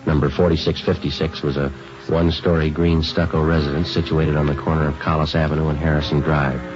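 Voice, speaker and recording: An actor playing a terse homicide detective in an old radio drama, narrating in clipped, matter-of-fact, understated phrases. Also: male voice, extremely low pitch, flat delivery, brisk. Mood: flat, factual. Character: terse, businesslike male police homicide detective sergeant. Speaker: lead actor and first-person narrator. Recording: dull vintage radio recording.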